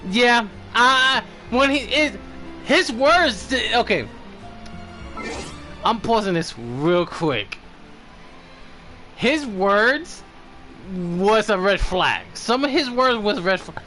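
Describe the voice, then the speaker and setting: A man's voice: high-pitched, lively intonation, takes it slowly, long pauses, bright, forceful tone, soft background music, low-quality recording. A man streaming a game with casual, blunt commentary and informal muttered asides.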